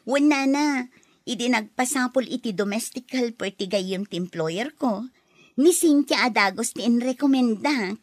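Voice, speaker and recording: A woman's voice: fairly high pitch, animated, measured pace, dry recording, studio quality. A woman playing a bubbly Ilocano returnee. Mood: cheerful. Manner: bubbly, laughs often. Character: young woman home from abroad, cheerful. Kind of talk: radio drama acting.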